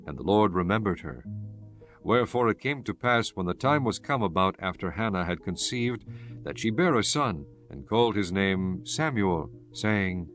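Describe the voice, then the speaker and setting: Low-voiced male scripture narrator. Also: lively intonation, a bit bright. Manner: solemn, measured storytelling. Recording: music bed behind the voice.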